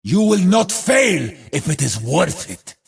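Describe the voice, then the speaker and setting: Gravelly war hero from a video game, super husky, slow heavy delivery, grim and defiant. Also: male voice, a little high, sing-song, heavily acted. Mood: solemn, defiant. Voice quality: raspy, gravelly. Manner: declamatory, weighty pronouncements. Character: battle-hardened warrior hero, grim, resolute and defiant.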